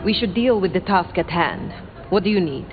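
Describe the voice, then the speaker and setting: An actress playing a cool, formal female vampire sheriff in a video game, an ancient wanderer who speaks in measured, cutting phrases. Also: on the low side, lively intonation, natural voice. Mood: businesslike. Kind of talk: video game character dialogue. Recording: muffled, phone-like game audio under music.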